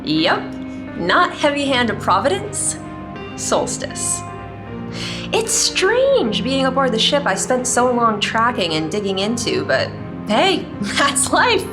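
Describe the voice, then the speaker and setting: Snarky casual hacker gal doing her log, sci-fi audio drama, super expressive pitch, music behind. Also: slow. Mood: wry, breezy. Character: wry, sharp female cyber-security specialist keeping a log. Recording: music bed under the voice.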